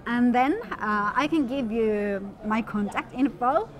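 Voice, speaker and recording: A middle-aged woman from Finland, interpreting a guest's words in first person with steady, slightly formal explanation. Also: fairly high pitch, a little slow. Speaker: sign language interpreter voicing the guest. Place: outdoor courtyard at a busy event. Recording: outdoor event with crowd noise. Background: crowd chatter.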